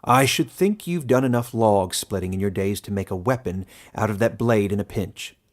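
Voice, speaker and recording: A man's voice: lowish voice, moderate pace, lightly acted. A man voicing a stern, impatient old village elder who gives curt, contemptuous commands in a fantasy audiobook. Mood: impatient scorn. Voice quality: broken edge in the voice.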